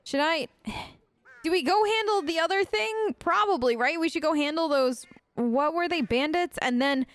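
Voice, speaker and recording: A woman giving casual, chatty role-play commentary over a video game, playful and gentle, often hesitating and tagging on questions. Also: very high-pitched, animated, measured pace, dry recording. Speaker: gamer playing a role-play let's play.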